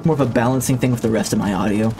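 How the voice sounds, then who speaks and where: A man's voice: mid-range voice, racing, over music. Rambling man chatting on a game stream. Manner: rambling and reflective, with many restarts. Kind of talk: casual chat while livestreaming a game. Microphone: streaming mic with a limiter.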